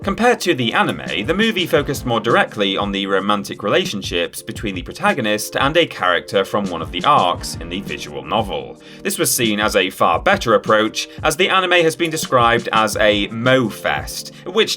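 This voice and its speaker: A man's voice: fairly low pitch, sharp tone. A man presenting a scripted countdown list, with wry conversational asides. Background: background music.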